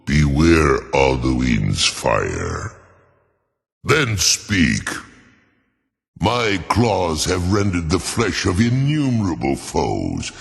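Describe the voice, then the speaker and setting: Casting: mighty old dragon for a fantasy game, very slow, solemn, proud, gravelly with wide swings in pitch. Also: male voice, exaggerated voice. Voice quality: deep, gravelly. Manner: slow, formal, portentous. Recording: long cavernous reverb.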